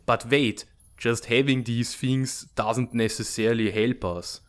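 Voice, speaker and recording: A man's voice: medium pitch, steady tone, a little slow, smooth, lightly acted. Man playing a blunt, hard-headed military strategist. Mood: irritated, doubtful. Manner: blunt, matter-of-fact. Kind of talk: dramatic comic fandub acting.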